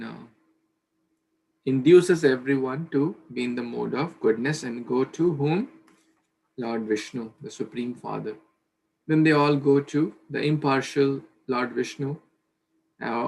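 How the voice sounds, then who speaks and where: A man's voice: mid-range voice, gravelly, slight background noise, poor audio. A man in his thirties leading a scripture study over a video call from a small bedroom, talking earnestly with fillers and restarts. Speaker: scripture class leader. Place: small bedroom at home, indoors. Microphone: laptop webcam on video call.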